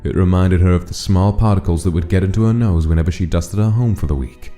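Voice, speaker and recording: A man's voice: sits low, fast talker, warm tone. A man narrating a dramatic story from a script, as the narrator of a comic dub. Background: music.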